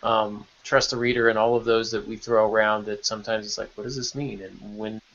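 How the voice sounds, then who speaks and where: A man's voice: lowish voice, level tone, a little fast, creaky, a bit bright. Male podcast host, slightly muffled audio. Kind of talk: casual podcast chat.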